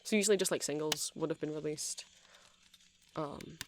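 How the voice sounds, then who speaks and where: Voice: medium pitch, moderate pace, close to an everyday voice. A performer playing a nerdy, earnest vampire who sells music in a shop, in a hesitant, rambling ASMR roleplay full of self-corrections. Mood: enthusiastic, nerdy. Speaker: shop seller.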